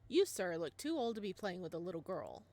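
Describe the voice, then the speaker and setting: A woman voicing a young, protective single father in a visual novel, in a soft, slightly stern way. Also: on the low side, very animated, brisk, voice pushed down. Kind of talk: reading a visual novel aloud.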